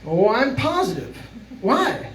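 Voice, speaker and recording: A man's voice: very high voice, sing-song, slow, a bit bright, exaggerated voice. A man playing a breezy, disbelieving friend in a live old-time radio drama, with a teasing manner. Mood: teasing disbelief. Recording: echoey room with faint noise.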